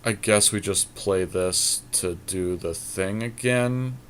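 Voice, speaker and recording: A man's voice: sits low, slow pace, fairly bright tone, a little hiss or hum. A man co-hosting a gaming podcast, talking casually but arguing his points in an analytical, matter-of-fact way. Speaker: podcast co-host. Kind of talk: casual gaming commentary with a friend.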